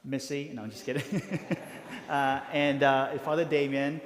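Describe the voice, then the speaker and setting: A priest briefing parish volunteers, talking haltingly with many fillers and restarts. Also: male voice, medium pitch, flat delivery. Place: church hall. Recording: reverberant church hall.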